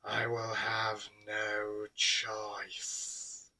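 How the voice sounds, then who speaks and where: A man playing a cold, soft-spoken Grim Reaper. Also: lowish voice, monotone, extremely slow, recorded from a distance, heavily acted. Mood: stern warning.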